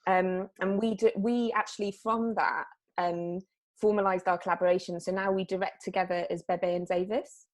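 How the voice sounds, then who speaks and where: A woman artist from Wales, chatting casually in an interview and often pausing on fillers and restarting her sentences. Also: lowish voice, little pitch movement, a little mellow. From South Wales. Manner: hesitant, lots of fillers and restarts.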